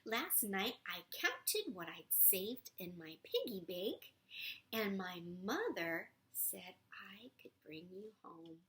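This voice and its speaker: Woman reading as an eager little girl, expressive. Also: mid-range voice, slow pace, slight background noise.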